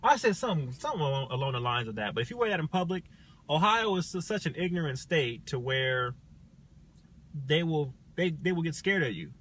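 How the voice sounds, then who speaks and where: A man's voice: fairly high pitch, expressive, fairly quick, a bit bright. A man chatting casually as a livestream guest, telling anecdotes and giving opinions in an informal, rephrasing way.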